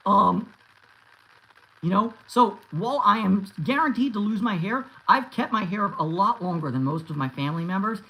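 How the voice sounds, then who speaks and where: A man's voice: high-pitched, expressive, moderate pace, vocal fry, pushed and bright, rough recording. A man in his thirties chatting casually on a livestream and answering viewers, rambling with many restarts and fillers. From United States. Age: 30s. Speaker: YouTube host answering live chat.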